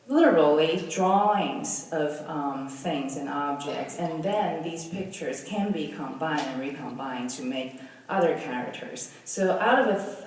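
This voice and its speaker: A young woman from Taiwan, whose first language is Mandarin, giving a presentation as a designer, hesitant, with many ums and restarts. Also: low voice. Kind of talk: presentation talk with slides. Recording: echoey hall, mic from far off.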